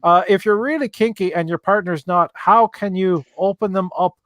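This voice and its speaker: An Irish man hosts a live video-call chat show and reads viewer questions aloud. His voice sits a little high and sounds dry and close, and he stumbles through fillers and restarts as he talks. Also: normal speed, no room sound. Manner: hesitant, many fillers and restarts. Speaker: livestream host.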